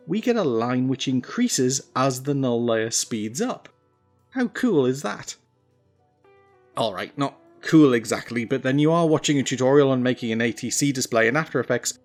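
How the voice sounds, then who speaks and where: Male tutorial narrator, medium pitch, instructional with wry self-mocking asides, light music bed. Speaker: tutorial presenter.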